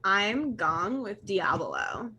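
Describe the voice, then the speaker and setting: A woman's voice: mid-range voice, huge pitch swings, drawn-out words, sharp tone, husky, highs cut off, heavily acted. A woman voicing a cheerful, gushing teenage goth girl in an amateur dramatic reading of fan fiction.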